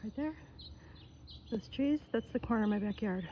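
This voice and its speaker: An older woman chatting casually on a morning walk through her neighborhood, gushing and often soft and whispery. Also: fairly high pitch, animated, normal speed, highs cut off, slight background noise. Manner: chatty, gushing, softly spoken with breathy whispery stretches. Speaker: vlogger. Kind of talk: casual walking vlog. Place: outdoors on a neighborhood street.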